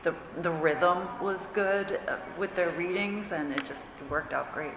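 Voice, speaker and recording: A woman's voice: fairly low pitch, a little slow. A woman speaking as a sound designer on a panel, explaining things informally and matter-of-factly. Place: indoor convention panel room. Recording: echoey hall, clear background noise.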